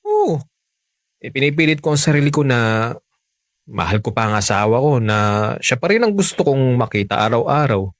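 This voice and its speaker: A Filipino man acting in a radio romance drama as a smooth-talking, unfaithful married man, earnest and persuasive. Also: medium pitch, animated, moderate pace, long pauses, dry recording, studio quality, lightly acted. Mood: earnest pleading. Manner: coaxing, earnest.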